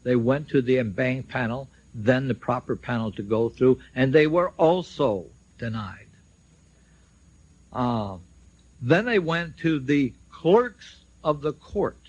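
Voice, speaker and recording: A man's voice: mid-range voice, darkish tone, no room sound. An older man giving a public speech, telling his own story in emphatic, short declarative statements. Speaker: speaker at a symposium, recounting his own legal case. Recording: dull lo-fi shortwave radio recording.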